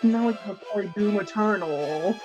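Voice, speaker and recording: Mid-pitched woman bantering over music. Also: a little slow, a little mellow, muffled recording. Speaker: tabletop game player. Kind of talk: casual banter.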